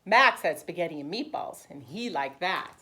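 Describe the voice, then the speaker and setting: Expressive woman reading to preschoolers, swooping pitch. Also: moderate pace. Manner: simple, explanatory child-directed speech with expressive emphasis. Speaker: preschool teacher reading a storybook aloud. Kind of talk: animated read-aloud for young children.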